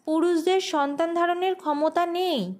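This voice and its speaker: A woman's voice: extremely high pitch, steady tone, moderate pace, darkish tone, pitched above the performer's own voice. A woman voicing a demanding, teasing king in a folk tale audio story. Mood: astonished.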